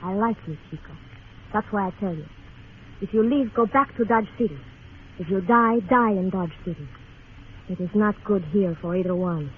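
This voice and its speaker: A woman's voice: medium pitch, normal speed, lightly acted. A woman playing a mysterious, fatalistic young temptress, languid and teasing, in an old radio western. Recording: muffled, faintly noisy old radio recording.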